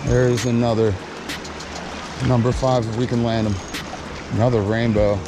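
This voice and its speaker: A man's voice: medium pitch, level tone, moderate pace, noisy background. A man giving chatty, upbeat running commentary to viewers while fishing by a creek outdoors. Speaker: fishing vlogger. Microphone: body-worn action camera.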